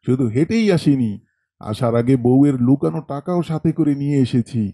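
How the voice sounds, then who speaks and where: A man voicing an eager, easily amazed old village spectator in an animated story, full of exclamations. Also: medium pitch, moderate pace, lightly acted. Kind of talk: animated horror story dialogue.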